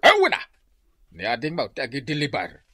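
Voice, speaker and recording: A man playing an exasperated, excitable father scolding in an Ilocano radio comedy drama. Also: medium pitch, fairly quick, breathy edge, no room sound, studio quality, heavily acted. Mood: excited.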